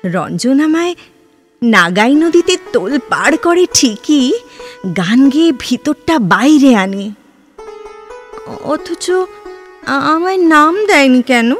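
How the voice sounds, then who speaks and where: A high, expressive young woman's voice, ardent and romantic. She speaks slowly, hesitating and repeating herself with theatrical feeling. Faint music plays behind her in this audio drama. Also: exaggerated voice. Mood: wistful. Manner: breathy exclamations, hesitant repeats, theatrical emoting. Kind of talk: Bengali audio drama acting. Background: background music.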